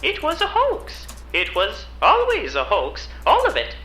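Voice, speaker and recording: A woman's voice: high voice, huge pitch swings, unhurried, a bit bright, roomy sound, full character voice. A woman playing a contemptuous, vehement skeptic, seething with disgust in an audio drama. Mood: indignant.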